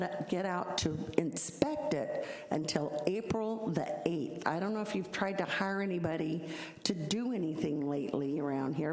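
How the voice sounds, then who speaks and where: Middle-aged woman, low and loud, pleading her case at a hearing; roomy hall sound. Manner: earnest, explanatory, self-described loud voice. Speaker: insurance agent addressing a code hearing. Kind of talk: public hearing testimony. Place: city hall hearing room. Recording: echoey hall from council mic.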